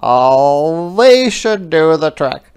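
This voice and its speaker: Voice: mid-range voice, sing-song, very slow, a little mellow, smooth, voice pushed up, heavily acted. A storyteller voicing a friendly, caring otter with freezing paws from a children's picture book. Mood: grateful, concerned.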